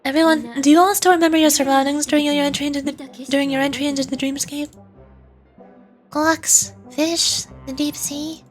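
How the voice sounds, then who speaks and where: A composed, shrewd woman leader, acted by a female player, reasons in a high, deliberate voice. The video game dialogue sits over clearly audible music. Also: steady tone, lightly acted. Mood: serious, wary. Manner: analytical, deliberate, polite. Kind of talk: live read-aloud of video game dialogue.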